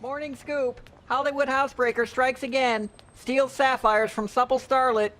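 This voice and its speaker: A woman's voice: high voice, steady tone, normal speed, fairly bright tone, poor audio. A woman playing a brash newspaper seller calling out punchy headlines in a 1950s-style radio drama. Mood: brisk, hawking.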